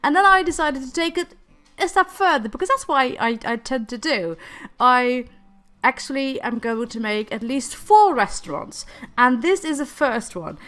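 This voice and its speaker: A woman's voice: high-pitched, expressive, normal speed, a bit bright. A woman chatting casually about her game, slightly nasal and recovering from laryngitis, laughing often and stumbling over repeated words. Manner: hesitant, repeats words and stalls. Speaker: gaming video creator. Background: faint background music.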